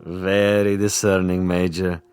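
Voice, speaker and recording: An actor playing a suave, menacing commissar in a radio drama, urbane and mocking with elaborately formal diction. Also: male voice, sits low, level tone, unhurried, darkish tone, clear, resonant tone. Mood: mocking menace. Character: suave, menacing male commissar interrogating a former prisoner.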